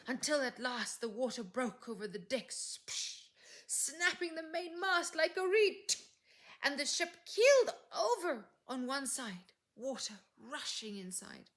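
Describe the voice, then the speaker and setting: Slow-paced woman reading a fairytale. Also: sits high. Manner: slow, deliberate, dramatic phrasing with soft breathy word endings. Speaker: English teacher reading stories. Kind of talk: expressive storytelling, reading a fairytale aloud.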